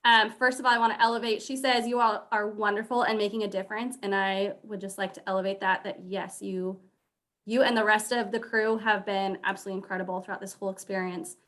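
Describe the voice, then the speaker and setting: A woman's voice: mid-range voice, level tone, fast, a bit bright. A woman moderating a panel, reading out audience questions and thanking the speakers in an encouraging way.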